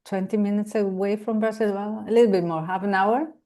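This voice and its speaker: Mellow-voiced woman podcast host. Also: medium pitch, steady tone, fast talker. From Switzerland. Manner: warm, enthusiastic, affirming. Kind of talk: casual conversational interview.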